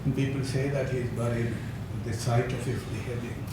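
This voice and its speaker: An older man giving a conference talk, hesitant with many fillers and soft chuckles. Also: medium pitch, steady tone, normal speed. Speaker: academic speaker. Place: lecture hall. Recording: echoey hall, distant mic, noisy.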